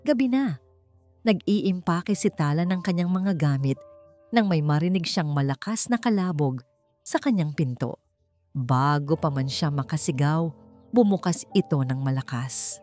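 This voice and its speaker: Low-voiced woman narrating a dramatic story. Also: lively intonation, measured pace, darkish tone. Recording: music under the voice. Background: background music.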